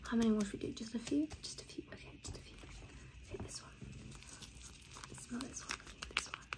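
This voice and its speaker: A woman with a fairly high, breathy voice whispers through an ASMR clinical roleplay. Her manner is gentle and reassuring. She often echoes her own short phrases, keeping everything soft and hushed. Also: fairly quick. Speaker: ASMR roleplay creator playing an examiner.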